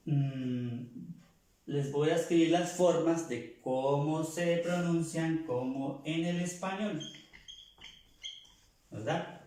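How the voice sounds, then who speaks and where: A man from Latin America with a somewhat high voice speaks very slowly, walking learners through pronunciation rules. He repeats each point and stops to correct himself, and his voice rings in a very echoey room. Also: mellow. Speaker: French teacher. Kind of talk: explaining pronunciation lesson.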